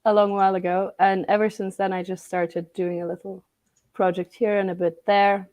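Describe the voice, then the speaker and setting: A young woman with a raspy voice, hoarse from a cold, chatting as a guest on an online stream. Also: mid-range voice, level tone, normal speed, darkish tone, lo-fi sound. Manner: laughs between phrases, self-deprecating. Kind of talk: casual online chat.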